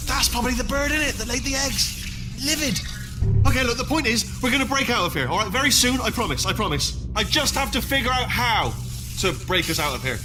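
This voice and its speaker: A man's voice: very high voice, expressive, brisk, over music, rough recording. A man voicing a small bumbling robot sidekick in a video game, nervous, rambling and conspiratorial. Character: small male robot sidekick, jittery, bumbling, eager schemer. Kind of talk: comic video game character dialogue.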